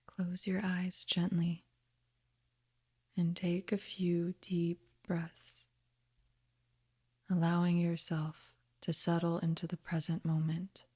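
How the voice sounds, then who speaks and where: Slow, breathy woman guiding meditation. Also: on the low side, completely flat delivery. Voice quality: soft, breathy, half-whispered. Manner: gentle, soothing, unhurried instruction. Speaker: meditation guide. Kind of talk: guided meditation narration.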